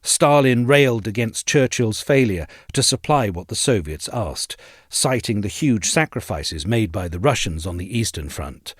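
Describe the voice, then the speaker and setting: A man reading a scripted history essay aloud as narrator, in a measured, articulate and formal delivery. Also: lowish voice, lively intonation, husky, no echo, studio quality.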